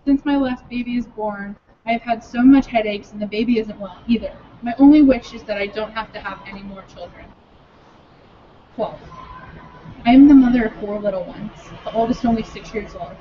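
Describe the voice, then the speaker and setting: A woman at a filibuster, reading letters aloud in an earnest, measured way. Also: a little high, slight background noise. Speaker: speaker at a filibuster. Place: state capitol building. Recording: dull lo-fi recording.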